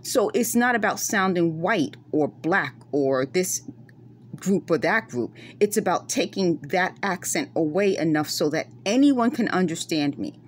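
A middle-aged woman from New York speaks with a Bronx accent that carries a West Indian lilt, her voice sitting fairly low. She talks to her phone camera up close, earnest and insistent, circling back over her points. A faint hum runs underneath. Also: animated, unhurried. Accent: Bronx New York with West Indian traces. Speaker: vlogger. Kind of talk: casual monologue to camera. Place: dim room at night, indoors.

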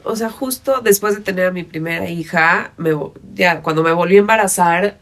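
Creaky, low-pitched Mexican woman chatting. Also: moderate pace. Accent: Mexican Spanish. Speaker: podcast guest, fitness instructor. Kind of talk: casual conversational interview. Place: small padded podcast studio. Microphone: studio microphone on boom arm.

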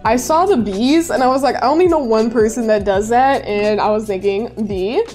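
Young woman streamer, high bright voice, bubbly and effusive, faint music behind. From Chicago area, US. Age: 20s. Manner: bubbly, effusive, quick to squeal with excitement. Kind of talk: casual live-stream chat reading messages. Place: bedroom streaming setup, indoors.

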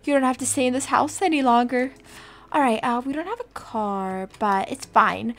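A woman's voice: on the high side, normal speed, close to an everyday voice. A woman playing a brazen, self-satisfied kidnapping mother in a video game roleplay, breezy and muttering to herself. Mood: annoyed, breezy.

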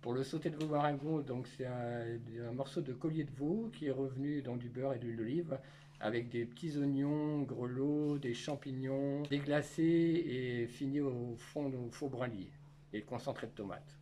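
A man in his fifties, a school canteen cook, calmly explaining his work to children with plenty of fillers, recorded on a camera nearby in a kitchen. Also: medium pitch, moderate pace, a little hiss or hum. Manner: plain, patient, with many fillers. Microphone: camera held by children nearby.

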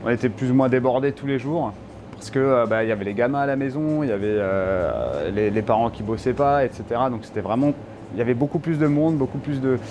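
A man's voice: medium pitch, fast. Gesturing shopkeeper man, noisy backdrop. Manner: explains with lively hand gestures. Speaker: shop owner. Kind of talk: local TV interview. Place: inside a small bulk-food shop.

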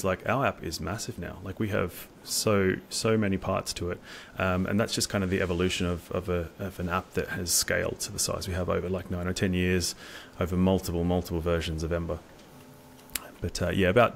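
Male engineer, middle-aged, gravelly voice, halting repeats, video-call interview with some background noise. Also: low voice, little pitch movement. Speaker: staff software engineer, interview guest. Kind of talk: informal technical interview talk. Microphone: video call, wireless earbuds.

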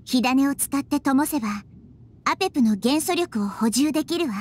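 A calm young girl goddess. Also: sits high, moderate pace, slight background noise. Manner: soft, composed, reassuring. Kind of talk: Japanese game voice acting. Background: game music.

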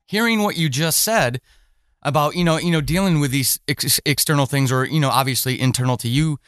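A middle-aged man speaks as a podcast host in a conversational interview. His voice sits a little high for a man and the sound is dry. He phrases things in a wordy, hesitant, circling way. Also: fairly quick, a bit bright, dry recording.

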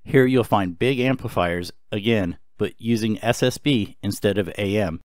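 A man narrating an explainer in a calm, explanatory way. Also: on the low side, normal speed, dry recording, studio quality. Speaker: host. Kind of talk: scripted narration.

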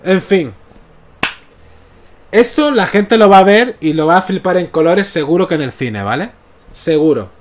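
A man's voice: sits high, lively intonation, moderate pace, long pauses. A man in his thirties with a Canarian Spanish accent, chatting casually on a comics livestream through a headset mic in a small room at home. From Canary Islands. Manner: chatty, often checks in with "¿vale?". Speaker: comics streamer. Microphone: gaming headset mic.

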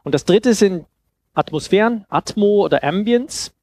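A man's voice: high-pitched, animated, normal speed, darkish tone. A man in his thirties speaking German in an informal, explanatory lecture style, conversational, on a headset mic. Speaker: festival speaker, sound designer. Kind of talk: informal lecture with audience questions. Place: indoor festival stage with couch.

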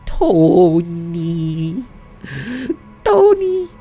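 A man puts on a scared little girl from a horror game, pitched well up. She is timid, whiny and pleading, with faint music behind. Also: wildly swooping pitch, extremely slow, warm tone, breathy edge, heavily acted. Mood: urgent calling.

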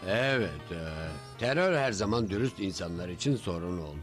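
An old retired superhero, played by a man in a cartoon, reminiscing in a fairly low voice. Music is clearly heard behind the storytelling. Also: lively intonation, a little fast, natural voice.

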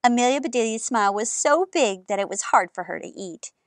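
High, animated woman reading to children. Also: brisk, no room sound, studio quality. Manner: animated, gesturing. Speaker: children's storytime host. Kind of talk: expressive storybook narration and singalong.

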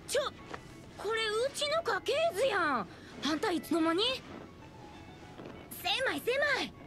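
A woman's voice: very high voice, big pitch swings, full character voice. An actress with a Kansai Japanese accent playing a blunt, teasing young woman fighter. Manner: blunt, teasing, quick-tongued. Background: game score music.

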